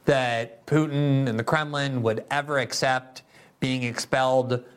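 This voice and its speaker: A man's voice: medium pitch, fairly even intonation, a little slow, clean tone. A man hosting a political interview show, reading a sponsor spot and asking long, winding questions in an earnest way. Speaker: show host.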